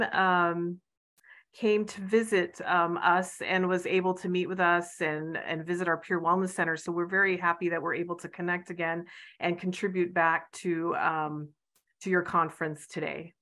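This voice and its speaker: Conference presenter, middle-aged American woman, bright clear voice, friendly and a bit halting. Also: on the low side. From United States. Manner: friendly, warm presenting with fillers. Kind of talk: conference talk over video call.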